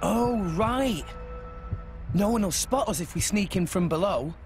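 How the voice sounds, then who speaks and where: A man's voice: high voice, lively intonation, measured pace. An actor playing a plucky, good-natured teenage boy adventurer in a game, with a British accent and a blunt, incredulous manner. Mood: eager. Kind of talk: video game cutscene acting. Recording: loud music behind the voice.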